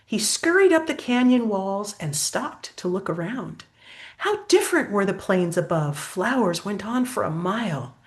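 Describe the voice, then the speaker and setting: An older woman reading a picture book aloud as a grandmother, pausing to prompt a child with gentle questions. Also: fairly high pitch. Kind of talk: storybook read-aloud with asides to a child.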